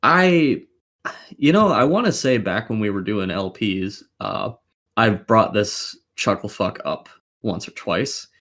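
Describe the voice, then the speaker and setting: A man's voice: on the low side, lively intonation, takes it slowly, fairly bright tone. A man co-hosting a casual podcast, giving opinionated commentary in a blunt, sweary, argumentative way. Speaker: podcast co-host.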